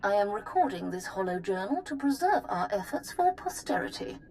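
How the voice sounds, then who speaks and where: A woman performs a solemn healer dictating a journal in a video game. She is formal and earnest at a middle pitch, with faint ambient noise around her. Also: sing-song, brisk, a little mellow, natural voice. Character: solemn female Jedi healer, hopeful turning fearful.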